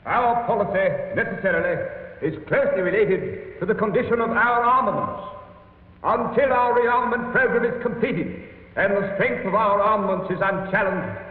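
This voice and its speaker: Brief: actor as a formal minister, high voice, echoey hall, thin old broadcast. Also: male voice, distant mic, phone-quality sound, natural voice. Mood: firm. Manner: measured, formal speechmaking. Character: cautious male British minister defending policy. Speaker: foreign secretary in a dramatized Parliament debate. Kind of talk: 1930s radio news dramatization.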